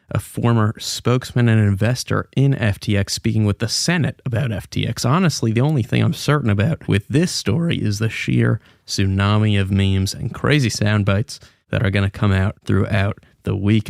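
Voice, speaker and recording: Low, creaky-voiced man hosting. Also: normal speed, darkish tone, no room sound, clean studio sound. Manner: informal, playful wording. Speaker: podcast host. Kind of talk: conversational business news podcast.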